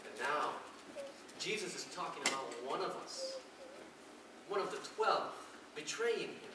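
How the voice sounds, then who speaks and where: A man playing an earnest, humble disciple of Jesus in a live stage monologue, telling his story deliberately with pauses. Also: fairly high pitch, close to an everyday voice. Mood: troubled, questioning. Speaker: actor in a church drama. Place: large echoey indoor space, mic at a distance. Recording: very echoey hall, distant mic, hissy noise.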